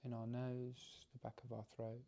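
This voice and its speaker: A man leading a guided meditation as its teacher, speaking calmly and gently, haltingly, with frequent pauses and repeated phrases. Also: mid-range voice, gravelly. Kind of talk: guided meditation, softly instructive.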